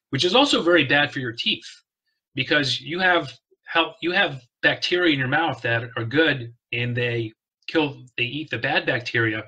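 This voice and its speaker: Bright-voiced man giving casual health tips. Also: mid-range voice, slightly rough, poor audio. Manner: earnest, repeats words, frequent restarts. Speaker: wellness vlogger. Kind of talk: casual to-camera health talk.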